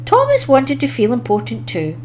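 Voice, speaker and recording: A woman reading a children's story aloud as an expressive storyteller. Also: sits high, moderate pace, a little hiss or hum.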